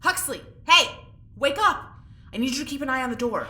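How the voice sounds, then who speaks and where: An actress playing an anxious, sharp-tongued young woman in a sci-fi audio drama, speaking bluntly and informally. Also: high-pitched, lively intonation, a little fast, heavily acted. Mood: urgent. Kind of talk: audio drama acting, naturalistic dialogue. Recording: roomy echo, faint background noise.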